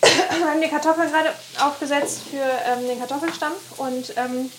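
A woman's voice: high voice, steady tone, normal speed. A woman guest cook explaining her cooking steps, with many filler sounds. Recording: noisy kitchen with frying.

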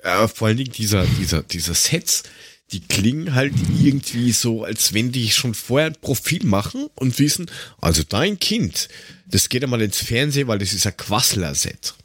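A man with a husky voice chats casually as a podcast co-host. His intonation swings widely, full of sarcasm and crude slang. The sound is dry, with no room around him. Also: mid-range voice, very animated, moderate pace, fairly bright tone, no room sound. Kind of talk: casual chat, humorous banter.

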